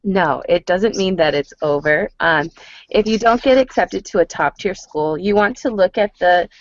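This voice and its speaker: A woman's voice: lowish voice, normal speed, dry recording. A woman working as a college advisor, explaining and answering questions on a conference call. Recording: dull lo-fi conference call line.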